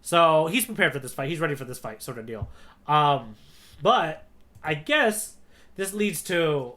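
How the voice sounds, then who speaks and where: A man's voice: on the high side, expressive, a little slow, bright, forceful tone. A man co-hosting a podcast in casual discussion, often checking in with a questioning "right?" as he makes his points. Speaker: podcast co-host.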